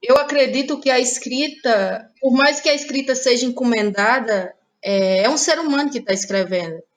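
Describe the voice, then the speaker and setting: High-voiced young northeastern Brazilian woman. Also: normal speed. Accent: Cariri Cearense, northeastern Brazilian. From Cariri, Ceará, Brazil. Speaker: guest playwright and actress. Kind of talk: reflective conversational interview.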